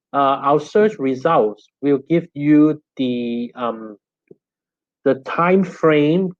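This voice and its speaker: A middle-aged man speaks English with a Hong Kong Cantonese accent, his native language being Cantonese. He explains like a professor in a question session, haltingly and with repeated words. His voice sits at an average pitch, and the sound is close and dry but dull, like a low-fidelity online call. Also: dry recording. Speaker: linguistics professor, guest lecturer. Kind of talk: answering questions after an academic talk. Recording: dull, band-limited online call audio.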